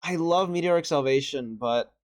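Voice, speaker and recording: A young man with a deeper voice giving casual, earnest and emotional commentary as he ranks favorite animated shorts, with sighs and restarts. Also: normal speed, dry recording. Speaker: video creator ranking animated shorts.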